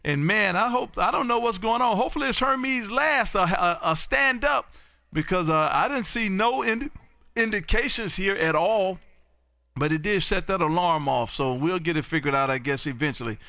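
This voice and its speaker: Bright, high-pitched American man hosting ham radio. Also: lively intonation, normal speed, creaky, dry recording. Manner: repetitive, folksy patter, often says "man". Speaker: amateur radio operator and live stream host. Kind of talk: lively ham radio live stream chat.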